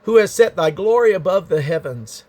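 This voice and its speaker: Guy reading the bible out loud, kinda higher voice, solemn and really emphatic, no room sound. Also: lively intonation, moderate pace, no room sound. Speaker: Bible reader. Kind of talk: solemn scripture reading aloud.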